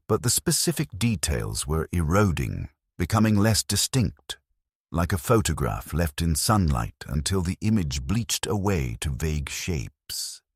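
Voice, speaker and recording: A man narrating a dark sci-fi story as a grim, hardened penal legion soldier, solemn and measured in delivery. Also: very deep voice, slightly rough, dry recording, clean studio sound, exaggerated voice.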